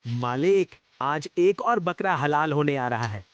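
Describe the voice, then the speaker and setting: A man voicing the moneylender's fawning servant in a cartoon story, who flatters his master and sneers at others. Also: fairly high pitch, slow, clear, resonant tone, no echo, lo-fi sound. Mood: sneering.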